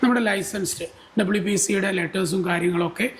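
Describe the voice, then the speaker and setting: A man's voice: high voice, fairly quick, a little hiss or hum. A man giving explanatory answers as a guest expert, mixing in English terms. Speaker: guest expert on a company podcast.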